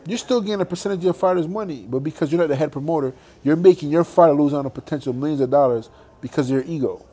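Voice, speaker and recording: A man's voice: fairly high pitch, rapid-fire, dark tone, husky. A young adult man, a boxer, talking animatedly and emphatically in a casual interview, laughing often.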